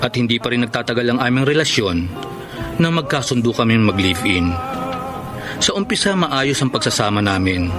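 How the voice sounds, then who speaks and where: A man's voice: medium pitch, expressive, normal speed, over music, lightly acted. A man playing an earnest, exasperated young live-in boyfriend in a radio drama, speaking emphatically and pleadingly. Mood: regretful narration. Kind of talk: Tagalog radio drama acting.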